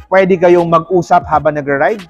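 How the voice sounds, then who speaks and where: High-pitched playful Filipino man over music. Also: fairly even intonation, moderate pace, lo-fi sound. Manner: playful, salesman-like, code-switches into English terms. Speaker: vlogger presenting a product unboxing. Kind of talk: casual product review chat.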